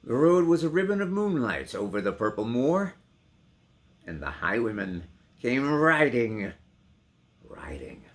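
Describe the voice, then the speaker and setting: A man's voice: mid-range voice, pitched above the performer's own voice. A man performing as a brooding storyteller in a dramatic poetry recitation, theatrical and varying strongly in force. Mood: hushed. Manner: theatrical, swelling and dropping to whispers. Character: brooding, dramatic storyteller of a romantic ghostly ballad.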